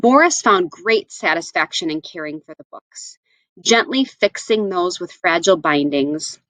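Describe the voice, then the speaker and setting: A woman reading a picture book aloud to children, with expressive, deliberate phrasing. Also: fairly low pitch, no room sound, rough recording. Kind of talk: read-aloud storytelling for children.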